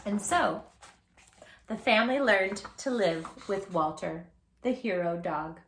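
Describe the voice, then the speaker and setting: A woman reading a picture book aloud for children in an animated, playful way, talking directly to young listeners. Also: medium pitch, takes it slowly, reverberant, slight background noise. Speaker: children's storytime host.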